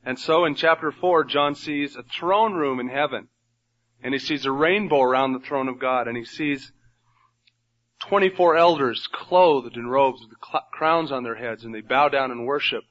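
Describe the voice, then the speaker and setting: A man's voice: medium pitch, moderate pace, a bit bright, lo-fi sound. A man with a nasal voice leading a Bible study in a church room, praying and then teaching in an earnest, conversational way. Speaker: pastor. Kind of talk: Bible teaching sermon with prayer.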